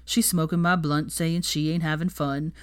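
A woman's voice: low voice, fairly even intonation, moderate pace, clean tone, dry recording, clean studio sound, lightly acted. A woman voicing an exuberant, cheeky young male wizard out partying in a story reading, playful and brash. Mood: reciting lyrics.

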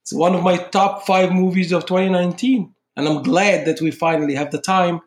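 A man's voice: high-pitched, steady tone, fairly quick. Earnest man, roomy sound. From Egypt. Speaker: podcast co-host. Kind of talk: casual chat.

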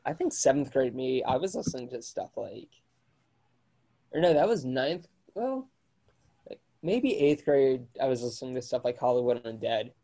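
A man's voice: mid-range voice, big pitch swings, normal speed, dark tone. A man in his early twenties, co-hosting a casual podcast chat, rambling with lots of fillers. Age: 20s. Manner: rambling, filler-heavy, drops into quoted asides. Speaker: podcast co-host.

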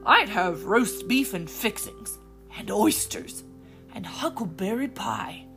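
A woman's voice: mid-range voice, lively intonation, takes it slowly, vocal fry, music in the background. Woman doing a plain sensible big brother, matter-of-fact. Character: sensible hardworking older brother.

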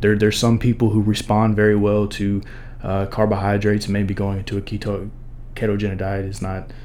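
A man with a deep baritone voice, a podcast guest explaining things in loose, rambling casual chat and hedging as he goes. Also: monotone, a little fast, a little mellow, slight background noise.

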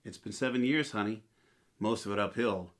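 A man's voice: fairly low pitch, moderate pace, lightly acted. A man playing a blustery, henpecked but sentimental husband in 1940s radio comedy style. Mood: rueful, tender.

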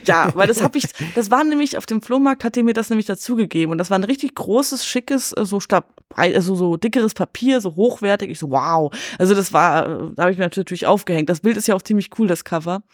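Female film-podcast guest, casual and colloquial, opinionated, medium pitch, close dry sound. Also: animated, fast, few pauses, no room sound.

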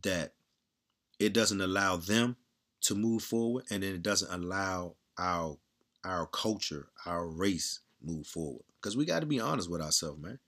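A man talking bluntly and candidly in a casual monologue, with a strong, loud voice, repeating himself and swearing freely. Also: sits low, measured pace.

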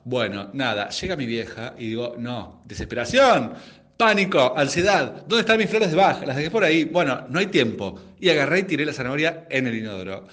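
Man as a brash Argentine drag correspondent, very animated. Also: mid-range voice, fairly quick, close to an everyday voice. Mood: comic panic. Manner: crude, melodramatic. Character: brash, crude, melodramatic drag correspondent from the suburbs. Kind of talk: comic anecdote monologue.

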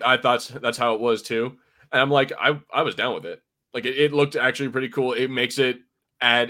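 Male, American, bright edgy tone at medium pitch. Casual, rambling fan-commentary co-host. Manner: rambling, informal. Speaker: podcast co-host reviewing a TV episode.

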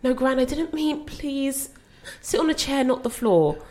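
A woman's voice: high-pitched, expressive, unhurried, mellow. An actress playing a young woman who looks after her grandmother, strained but trying to stay soothing. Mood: apologetic. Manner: strained and exasperated, then apologetic and soothing. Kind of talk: screen drama acting.

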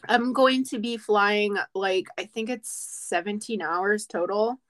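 A woman's voice: mid-range voice, slow, fairly bright tone. A woman co-hosting a podcast in casual chat, playful and sarcastic, laughing often. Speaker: podcast co-host.

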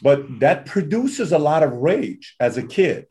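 Soft-spoken man from Iran asking questions. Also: a little high, sing-song. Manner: halting, thoughtful questions with fillers. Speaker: interviewer. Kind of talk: conversational interview.

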